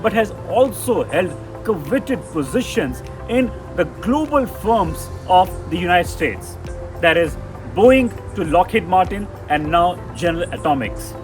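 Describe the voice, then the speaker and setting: Male host, Indian accent, higher-pitched, effusive formal interviewing, clear background music. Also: lively intonation, measured pace. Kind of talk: on-camera interview with formal scripted introduction.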